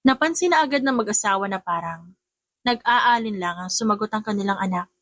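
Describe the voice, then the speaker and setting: A woman's voice: medium pitch, expressive, fairly quick, a bit bright, no room sound, clean studio sound. A woman reading a romance audiobook as a dramatic scripted narrator.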